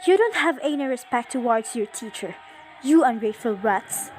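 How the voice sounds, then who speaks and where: A woman playing a sharp-tongued, wicked female teacher in an amateur radio drama. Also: a little high, lively intonation, normal speed, faint music behind, close to an everyday voice. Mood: indignant.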